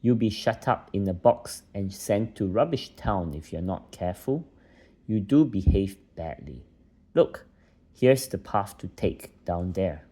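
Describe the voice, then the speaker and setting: A man's voice: low voice, lively intonation, measured pace, dark tone, breathy edge, heavily acted. A man voicing an exasperated, scolding little fairy-folk guide in a children's storybook. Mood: exasperated, warning. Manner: scolding, admonishing.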